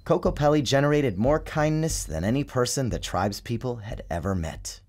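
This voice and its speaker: A man's voice: medium pitch, moderate pace. A man reading a children's storybook as a dramatic, theatrical narrator. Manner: theatrical, expressive storyteller delivery. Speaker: author narrating his storybook. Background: music and sound design.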